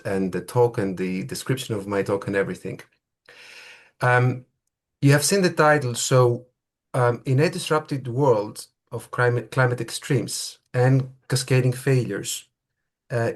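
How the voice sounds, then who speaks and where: Man giving an academic talk. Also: mid-range voice. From Greece. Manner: thoughtful, halting, with many fillers and restarts. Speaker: lecturer.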